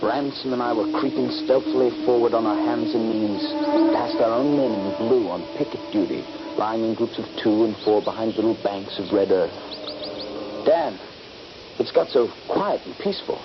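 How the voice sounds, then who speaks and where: An actor playing a dutiful, respectful young army private in a 1970s radio drama, earnest and soldierly. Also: male voice, fairly low pitch, moderate pace, lightly acted. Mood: hushed, narrating. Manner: deferential, earnest soldierly answers. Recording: muffled, very noisy old radio broadcast.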